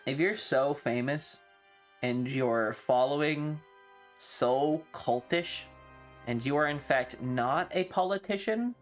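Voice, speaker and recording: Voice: medium pitch, takes it slowly, faint music behind. A streamer chatting casually and live, rambling and opinionated, swearing freely and complaining with playful exaggeration. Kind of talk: casual livestream chat.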